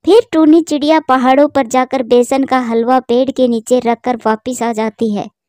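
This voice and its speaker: A woman's voice: high voice, normal speed, dry recording, clean studio sound. Woman narrating a cartoon story. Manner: steady, plain storytelling.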